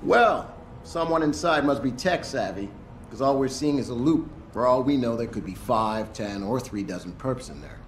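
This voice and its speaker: An actor playing a jaded, sarcastic police tactical officer in a video game cutscene, with a needling manner. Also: male voice, medium pitch, lively intonation, normal speed, lightly acted. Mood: wary briefing. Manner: sardonic, needling. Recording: roomy echo, faint ambient noise.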